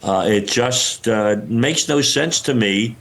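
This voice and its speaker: An older man being interviewed as an expert, explaining things haltingly as he searches for words. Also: medium pitch, a bit bright. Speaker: retired air traffic controller, interviewed expert. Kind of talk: news interview, unscripted explanation.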